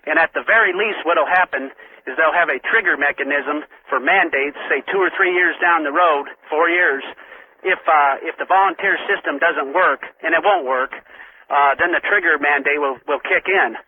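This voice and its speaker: An American man calling a radio show to argue politics, earnest and insistent with a halting delivery. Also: on the high side. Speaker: regular radio caller. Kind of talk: political argument on call-in radio. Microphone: telephone. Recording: tinny, noisy phone line on radio.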